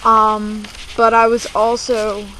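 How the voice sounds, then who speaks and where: A young American woman around twenty talking casually and confessionally in a video blog, halting and subdued, recorded on a laptop. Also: a little high, monotone, pushed and bright. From United States, born in New Jersey, moved to Virginia. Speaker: video blogger. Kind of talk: confessional casual vlog talk. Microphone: laptop microphone. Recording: noisy amateur laptop recording.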